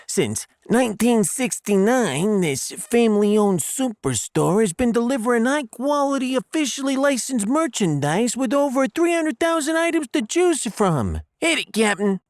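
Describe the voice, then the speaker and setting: A cocky working-class spaceship engineer talks in brash New slang. The delivery is comic audiodrama, wildly animated in pitch. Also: male voice, measured pace, hardly any pauses, no echo, studio quality, full character voice. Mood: salesy. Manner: brash, colloquial wise-guy talk.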